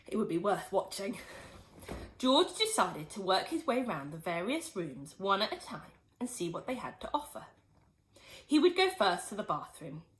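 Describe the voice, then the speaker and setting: Expressive woman teacher reading a storybook. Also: mid-range voice, poor audio. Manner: expressive storytelling, lively emphasis. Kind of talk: reading a children's book aloud.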